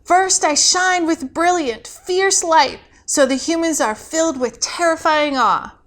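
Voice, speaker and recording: A woman's voice: extremely high pitch, measured pace, fairly bright tone, pitched above the performer's own voice. A woman voicing a young non-binary novice angel, earnest and unsure, with a hesitant manner, in a read-aloud story. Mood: earnest, reciting.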